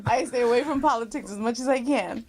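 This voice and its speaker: A woman guest from Mexico, chatting casually in an interview, animated and laughing often. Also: on the high side, fast talker, a loud crowd. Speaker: guest actress.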